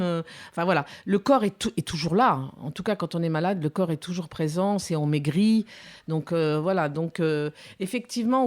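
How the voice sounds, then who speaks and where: Soft-spoken, hesitant woman in her fifties. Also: lowish voice. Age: 50s. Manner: hesitant, many fillers and restarts. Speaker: documentary filmmaker. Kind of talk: reflective interview answers.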